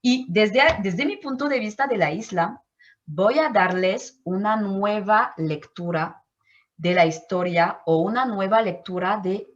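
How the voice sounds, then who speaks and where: Martinican woman, French native, interview guest, reflective, searching for words, dull lo-fi sound. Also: on the low side. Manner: thoughtful, searching for words, frequent restarts. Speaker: anthropologist guest.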